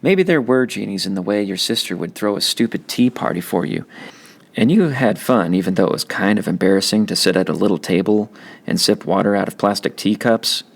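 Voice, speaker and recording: Gravelly low-pitched man reading aloud. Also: expressive, fairly quick. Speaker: audiobook reader. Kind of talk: scripted narration of a children's novel.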